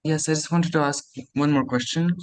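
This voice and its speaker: A man's voice: on the high side, steady tone, brisk. A teenage male student whose native language is Urdu, casually putting a question to an adviser. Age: teens. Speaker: tenth-grade student asking a question.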